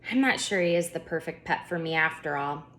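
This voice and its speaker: A woman voicing a spunky, excitable seven-year-old girl in a children's storybook, with an eager way of talking. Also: low voice, fairly quick. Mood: worried. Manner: eager, exclaiming, pondering aloud. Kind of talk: children's storybook read-aloud.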